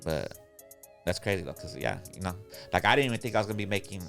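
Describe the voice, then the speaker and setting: A man's voice: low voice, very animated, fast talker, creaky, music in the background. A man hosting a podcast, chatting in a laid-back, slangy, rambling way. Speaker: podcast host. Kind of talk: casual interview chat.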